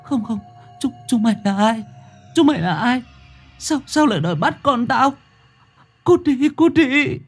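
A panicky young pregnant wife, played by a male storyteller, pitched far up from his own voice. The delivery is husky, stammering and wailing, pleading in terror as in a ghost story. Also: lively intonation, a little slow, long pauses, faint music behind, exaggerated voice. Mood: panicked screaming.

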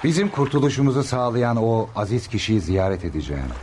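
A seafaring wine merchant in a radio drama, polite and resolute. His medium-pitched voice moves in lively swings, with faint noise behind. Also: fast. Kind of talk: radio theatre acting.

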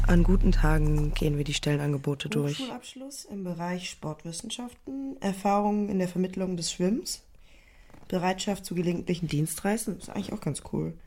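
An actress playing a devoted, anxious young woman podcaster in a naturalistic audio drama, gentle and placating with a hesitant delivery. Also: lowish voice. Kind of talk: naturalistic German audio drama with inner narration.

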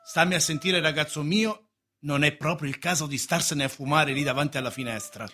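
An actor playing an agitated, domineering middle-aged uncle in a radio drama, with an emphatic, scolding theatrical delivery. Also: male voice, on the high side, a little fast, pushed and bright. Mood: agitated, reproachful.

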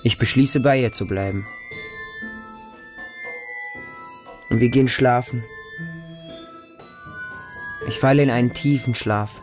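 A man's voice: medium pitch, moderate pace, darkish tone, soft background music, lightly acted. An actor playing a distrustful feral orphan boy raised by dogs, narrating a radio drama in short, insistent, repetitive childlike sentences. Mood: softening, wistful. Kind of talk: radio drama monologue, first-person narration.

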